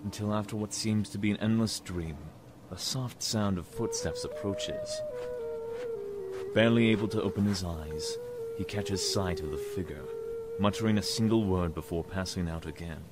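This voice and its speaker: A man narrates an audio drama in a very low voice. His storytelling is literary and dramatic, with music clearly playing behind him. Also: huge pitch swings, moderate pace.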